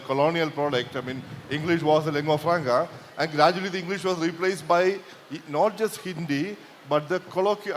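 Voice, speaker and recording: A man from Kerala with a Malayali Indian accent, a native Malayalam speaker, moderating a discussion in a halting, self-correcting manner. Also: fairly high pitch. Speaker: session moderator. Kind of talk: discussion closing remarks. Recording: reverberant hall with background noise.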